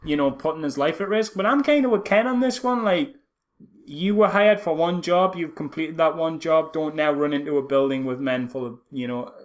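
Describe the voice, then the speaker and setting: A man's voice: a little high, moderate pace. A man co-hosting a podcast with casual, sarcastic film commentary, acting out lines as he retells scenes. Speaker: podcast co-host.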